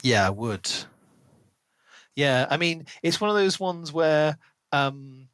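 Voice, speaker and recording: A man co-hosting a casual book podcast, giving opinions in an animated, emphatic way with sarcastic asides. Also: a little high, takes it slowly, clear, resonant tone, dry recording, studio quality. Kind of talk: casual book review chat.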